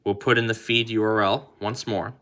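A man's voice: fairly low pitch, level tone, normal speed, a bit bright. A man giving a casual hands-on tutorial as its host, thinking aloud as he works through the steps indoors. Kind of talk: casual hands-on app demonstration.

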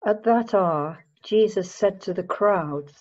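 A woman's voice: medium pitch, slow pace, darkish tone. A woman narrating scripture from a script as part of a dramatic reading. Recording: muffled lo-fi home recording.

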